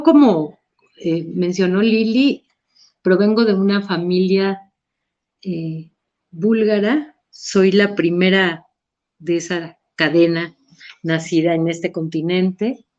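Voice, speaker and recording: A woman's voice: on the low side, darkish tone. A middle-aged Mexican woman with a Mexican Spanish accent, speaking thoughtfully at a talk with hesitations and restarts, in a slightly muffled recording. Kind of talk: personal reflective talk.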